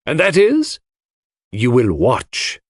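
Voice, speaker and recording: A man voicing a shrewd, solemn foreign detective in an audiobook, speaking in a measured, emphatic and enigmatic manner. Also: mid-range voice, huge pitch swings, dry recording, studio quality, pitched above the performer's own voice, exaggerated voice. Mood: quietly insistent.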